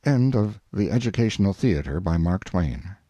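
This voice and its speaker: A man narrates an audiobook in a mellow, relaxed timbre with very animated pitch movement. The sound is dry and close, suited to a scripted literary reading. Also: moderate pace, no room sound. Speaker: audiobook reader.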